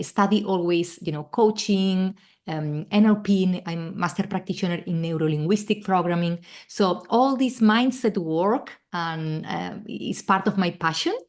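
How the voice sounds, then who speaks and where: Middle-aged Spanish-accented woman, lowish voice, earnest guest on a call. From Spain. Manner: earnest, many fillers and restarts. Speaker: guest, travel coach. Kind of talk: casual interview chat.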